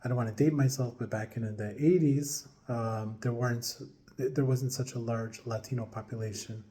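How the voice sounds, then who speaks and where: A man in his forties from upstate New York, telling his own story to camera in a hesitant, casual way with many pauses and fillers. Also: medium pitch, steady tone, mellow. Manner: hesitant, many ums and restarts, chuckles now and then. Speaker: vlogger.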